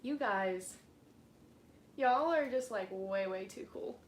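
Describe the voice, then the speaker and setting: A woman's voice: medium pitch, slow, slight background noise. A young woman hosting a casual live stream, chatting in a bubbly, rambling way with frequent giggles and lots of "like". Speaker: plant channel host.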